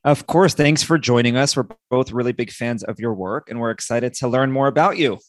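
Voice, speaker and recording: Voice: medium pitch, a little fast, no echo, clean studio sound. A podcast co-host chatting with a guest in a casual, upbeat way. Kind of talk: casual interview.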